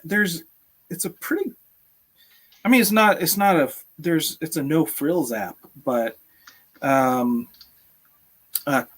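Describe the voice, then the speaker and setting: A man in his thirties with a fairly high-pitched voice hosts a casual live stream. He talks in a jovial, conversational way over a video call from a room at home. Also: fairly bright tone.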